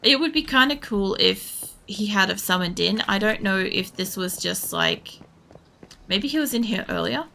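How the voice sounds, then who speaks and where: A woman with a creaky voice, giving casual, rambling, sweary gameplay commentary while thinking aloud as she streams. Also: mid-range voice, expressive, normal speed, pushed and bright. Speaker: game streamer.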